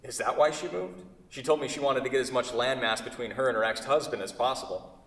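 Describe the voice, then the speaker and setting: A man's voice: medium pitch, fairly quick, fairly bright tone, natural voice. A man in a staged play reading, playing a solitary woodworking artist who is polite, guarded and hesitant. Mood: polite, flustered. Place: theatre stage. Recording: very echoey hall, distant microphone.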